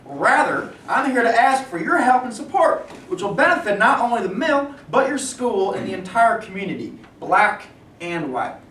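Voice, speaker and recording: A man playing a well-born steel company man in a staged play reading, formal and persuasive, with veiled threats under polite, measured speech. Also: high-pitched, big pitch swings, a bit bright. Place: indoor room at a live staged reading. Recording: echoey room, distant mic, noisy.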